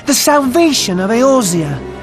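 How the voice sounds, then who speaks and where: A woman's voice: on the high side, big pitch swings, unhurried. A woman playing a smug mutineer in a fantasy game, grandiose and self-assured. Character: smug female mutineer villain. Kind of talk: dramatic video game cutscene acting. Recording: music behind the voice.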